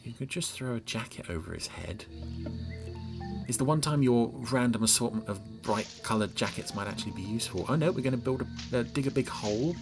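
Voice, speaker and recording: A man's voice: mid-range voice, animated, brisk, gravelly. Sardonic man reviewing television, music behind. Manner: sardonic, exasperated. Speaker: podcast episode reviewer. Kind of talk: mocking scene-by-scene television commentary. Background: TV episode soundtrack with music.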